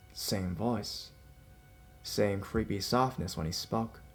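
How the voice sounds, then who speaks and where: A man narrating scripted first-person scary stories as a storyteller. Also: low voice. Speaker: narrator reading listener-submitted scary stories. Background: background music.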